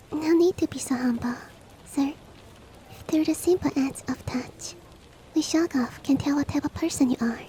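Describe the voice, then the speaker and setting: A woman playing a polite, devoted shapeshifting monster-girl maid in an ASMR roleplay, speaking softly and often in a whisper, with formal, gently earnest courtesy. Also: sits high, lightly acted, poor audio. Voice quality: soft, breathy, often whispered. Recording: faint ambient rain noise behind. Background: soft rain ambience.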